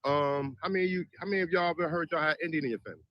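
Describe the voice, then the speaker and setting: A fast-talking man with a fairly high voice questions passersby in a park. The sound is dull and lo-fi, caught from a little way off outdoors. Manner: quick, friendly questioning. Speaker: street interviewer. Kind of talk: casual street interview.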